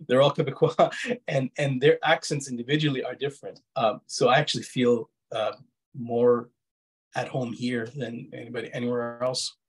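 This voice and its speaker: Husky man with a slight foreign accent. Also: mid-range voice, steady tone, moderate pace. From Egypt, Kuwait and Canada. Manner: hesitant, explanatory, often rephrasing. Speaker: interviewee. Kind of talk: reflective interview answers. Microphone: video call. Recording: husky-sounding video call audio.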